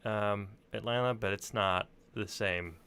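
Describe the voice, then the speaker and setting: A man's voice: on the low side, a little slow, pushed and bright, clean tone. A man telling anecdotes in casual chat, rambling informally and swearing freely. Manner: informal, profane, rambling storyteller.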